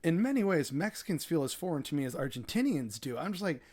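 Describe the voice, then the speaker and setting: A man's voice: a little high, big pitch swings, fast talker, a little mellow. A man speaking as an interview guest in a loose, chatty way, packed with fillers like "like". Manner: loose, filler-heavy talk, many "like"s. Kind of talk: casual conversational interview.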